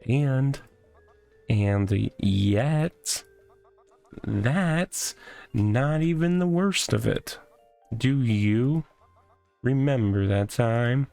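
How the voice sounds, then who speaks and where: A man playing a rambling, gravelly oddball in a box. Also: medium pitch, lively intonation, drawn-out words, pauses often, dark tone, faint music behind, full character voice. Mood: exasperated, worried. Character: odd, rambling, deadpan-joking man living in a box.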